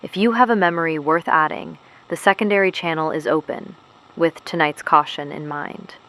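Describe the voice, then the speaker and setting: Actress doing underground resistance radio host lady, deep voice, serious n measured, audio drama monologue. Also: a bit bright, poor audio. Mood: grave, sombre. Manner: measured, grave, deliberate phrasing. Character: solemn, principled woman hosting a clandestine resistance radio show.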